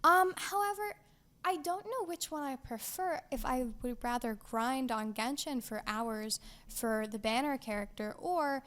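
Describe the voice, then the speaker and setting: Young female co-host, bubbly and giggly, slightly high voice, casual gaming chat. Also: a little slow. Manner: excitable, giggly, lots of fillers. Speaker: podcast co-host.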